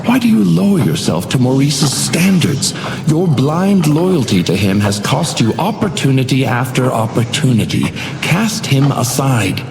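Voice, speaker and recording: A man's voice: medium pitch, big pitch swings, a little slow, gravelly, background noise. A man voicing an uncanny, suavely menacing hotel doorman in a video game, theatrical and unctuously polite, with insinuating courtesy. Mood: grave, coaxing. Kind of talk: scripted video game character lines. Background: music.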